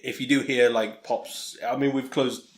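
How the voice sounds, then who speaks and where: A man with a British accent hosts a homemade podcast with a friend. His voice sits at a middling pitch, and he talks in a loose, rambling, joking way, like casual chat. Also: steady tone, moderate pace, a little hiss or hum. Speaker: podcast host. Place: room at home, no studio.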